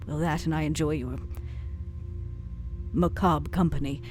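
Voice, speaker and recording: A low female voice for a weary vampire who runs a club, played by a woman at a game table. She is wry and sardonic, pausing for drama, with clear music underneath. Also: steady tone, a little mellow. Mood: bitter, searching. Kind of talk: improvised tabletop roleplay drama.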